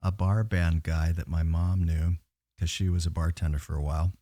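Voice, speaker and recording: A man guesting on a bar podcast, telling rambling stories with a naturally low voice and many fillers. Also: fairly even intonation, normal speed, mellow, dry recording, studio quality. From Pacific Northwest US. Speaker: guest singer. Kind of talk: casual podcast chat.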